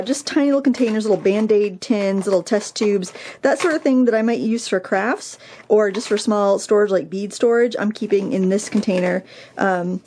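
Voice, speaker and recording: A woman with a Maine accent talks her way through tidying her craft room at a middle pitch. She rambles and second-guesses herself with plenty of ums and likes. The sound comes from a camera held in her own hand indoors. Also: mellow, a little hiss or hum. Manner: rambling, self-deprecating, many ums and likes. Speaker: craft vlogger. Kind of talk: casual chatty vlog while tidying. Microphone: handheld camera mic.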